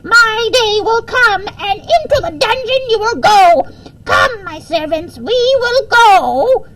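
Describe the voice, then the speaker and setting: A woman voicing a cruel, haughty storybook queen, imperious and theatrical, shrieking drawn-out words in fury. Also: very high-pitched, animated, fairly bright tone, pitched above the performer's own voice, full character voice. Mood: furious, menacing.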